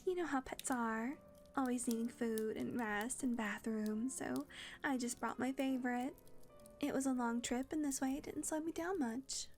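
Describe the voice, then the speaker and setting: Glib, sardonic young vampire played by a woman, high feminine voice, placating audio-roleplay delivery with music behind. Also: steady tone, moderate pace, lightly acted. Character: wry female vampire fledgling, flattering her mistress.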